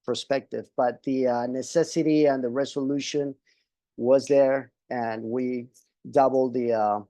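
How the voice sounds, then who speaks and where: Brief: man, native Spanish speaker, webinar panelist, hesitant delivery, dark mellow tone, dry sound. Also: mid-range voice, steady tone, no echo. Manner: halting, many restarts and fillers. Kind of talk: casual panel talk.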